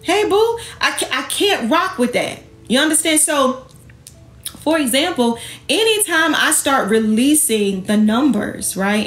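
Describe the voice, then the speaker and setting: A woman's voice: a little high, echoey room. A woman speaking emphatically and colloquially to her online followers, sassy and confrontational, firing off rhetorical questions in an impassioned livestream talk. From Jamaican heritage. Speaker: online host and women's empowerment speaker.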